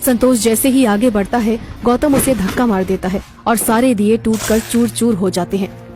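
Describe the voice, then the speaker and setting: A female storyteller reads a scripted cartoon narration. Her voice is slightly high. Music is clearly present underneath. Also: steady tone, normal speed. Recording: background music throughout.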